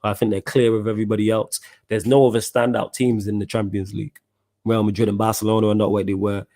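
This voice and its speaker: Young manc guy, lowish voice, chatting footy on his podcast, lots of slang. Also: little pitch movement, quick pace, dark tone. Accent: Mancunian. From Manchester, England. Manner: chatty, slangy, reacting to viewer comments. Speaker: podcast host. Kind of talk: casual solo football podcast chat.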